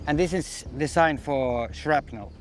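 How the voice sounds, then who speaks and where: A man's voice: fairly high pitch, measured pace. A man working as an instructor, explaining equipment in an informal hands-on briefing. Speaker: military instructor.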